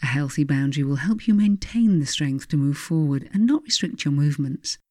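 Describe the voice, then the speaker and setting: A woman reading her own spiritual self-help book as scripted narration, speaking deliberately and earnestly straight to the listener. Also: sits low, lively intonation, dark tone, dry recording, clean studio sound.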